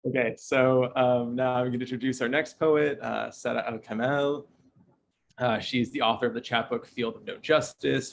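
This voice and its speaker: Young american guy hosting a poetry night, fry in his voice, bit of background noise. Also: mid-range voice. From Michigan, United States. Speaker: host and curator of a poetry reading. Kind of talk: casual event introductions.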